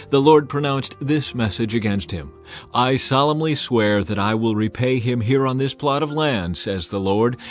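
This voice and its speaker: A man voicing a bold, defiant ancient army commander in a raised, forceful voice, within a scripture reading. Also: lowish voice, natural voice.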